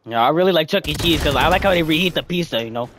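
A man's voice: on the high side, lively intonation, very fast, noisy background. A man chatting casually on game voice chat, telling rambling, tongue-in-cheek stories. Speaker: gamer. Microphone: gaming voice chat.

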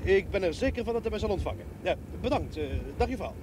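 An actor playing a self-assured, dry investigator with an authoritative manner in an old radio drama. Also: male voice, high-pitched, expressive, fast. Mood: polite, insistent. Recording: noisy old radio play recording.

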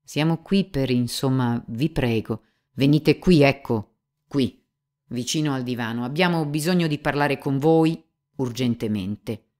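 A woman's voice: very deep voice, a little mellow. A woman voicing a small, young examining magistrate who speaks formally and in a hurry. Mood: urgent. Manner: hurried and flustered, then stern and declaiming.

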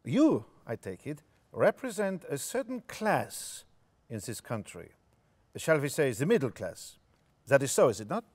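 An actor playing a courteous, smug German occupying officer with a formal, measured, condescending manner in a staged play reading. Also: male voice, medium pitch, very animated, a little mellow. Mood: polite, probing. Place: theatre stage before an audience.

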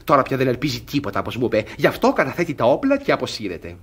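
A gullible middle-aged suitor and guardian in a radio theatre comedy. His voice is husky and leaps about with great animation. He is smug, fussy and patronisingly tender in a broad theatrical manner. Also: medium pitch, wildly swooping pitch, fairly bright tone, heavily acted. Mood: smug, pitying.

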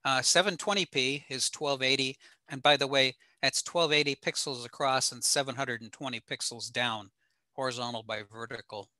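An older American man giving an informal slide lecture in an explanatory, halting way with frequent pauses. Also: mid-range voice, fairly even intonation, pushed and bright, no echo. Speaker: presenter, ham radio operator. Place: small home room indoors.

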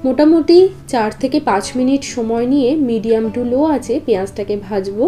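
A woman's voice: fairly high pitch, moderate pace, warm tone. A woman narrating a recipe step by step as a cooking host, in an instructional, explanatory way. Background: guitar background music.

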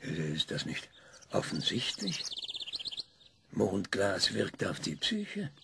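A gravelly, eccentric older man. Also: deep voice, huge pitch swings, slow, very noisy, exaggerated voice. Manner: hesitant, many ums, lecturing. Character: older man, eccentric and cranky whisky drinker. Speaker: interviewee. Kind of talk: radio play acting.

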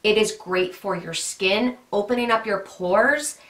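A woman vlogger in her thirties talks brightly and chattily, tossing in plenty of fillers as she gushes. She sounds slightly stuffed up and nasal. Her voice rings in a roomy, echoing home studio. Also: medium pitch, a little slow. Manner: chatty, gushing, many fillers. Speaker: beauty vlogger. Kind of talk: casual product recommendations to camera. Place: pink bedroom studio with vanity mirror and lit tree.

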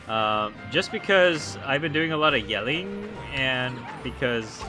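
Hoarse young male let's player, animated and silly, game music in the mix. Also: mid-range voice, unhurried. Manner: goofy, excitable, lots of ums and likes. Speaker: let's play gamer. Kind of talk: casual gameplay commentary.

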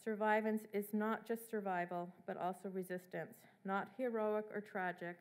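A middle-aged woman lecturing from a podium in a hall, speaking in a measured way with fillers and restarts. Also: medium pitch, fairly even intonation. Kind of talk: academic lecture.

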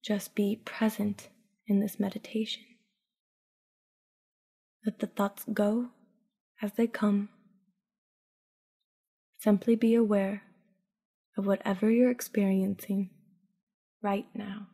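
A woman narrating a scripted guided sleep meditation in a soothing, hushed, unhurried manner with long pauses. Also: mid-range voice, flat delivery, creaky, breathy edge.

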